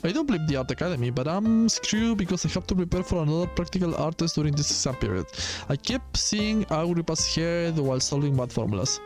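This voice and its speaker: A man's voice: a little high, lively intonation, a little fast, a little mellow, soft background music, natural voice. Man playing a stressed, grumbling art student. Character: stressed, artistic teenage student, griping.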